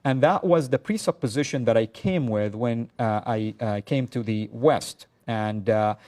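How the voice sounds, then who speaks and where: A middle-aged man from Saudi Arabia, hosting a talk show, speaking earnestly and measuredly with frequent pauses. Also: mid-range voice, a little mellow. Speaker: show host. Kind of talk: talk-show monologue to a guest.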